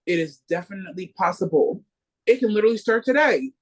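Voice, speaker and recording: An earnest book club member holding forth in political discussion, rallying the group. Mid-pitched and steady, preachy in tone. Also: fast, slightly rough.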